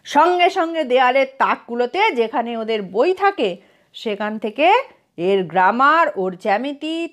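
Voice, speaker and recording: A woman narrating a comic story in an expressive, dramatic storytelling manner. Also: fairly high pitch. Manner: dramatic storytelling, acts out characters' lines.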